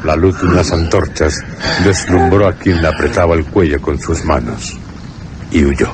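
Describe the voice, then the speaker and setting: A man's voice: extremely low pitch, normal speed, very noisy. A man narrating a suspense and horror radio drama in a scripted, dramatic style. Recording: radio drama with loud music behind. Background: suspense music.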